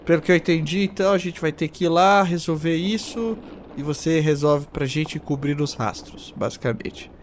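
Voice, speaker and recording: A man's voice: a little high, normal speed, a little hiss or hum. A man playing a tabletop game, casually talking through the plan with the others.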